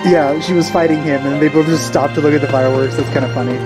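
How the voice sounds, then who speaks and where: A man's voice: on the high side, steady tone, fast, over loud music, low-quality recording. A young man giving casual, understated reaction commentary as a video host, musing and hesitant as he goes. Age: young adult.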